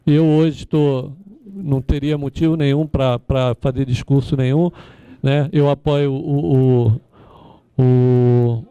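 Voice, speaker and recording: A man's voice: mid-range voice, fairly even intonation, slow pace, warm tone, clean tone, no echo, highs cut off. A Brazilian man giving an informal endorsement speech, repeating himself and often seeking agreement with tag questions. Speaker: former police administration official speaking at a candidate forum.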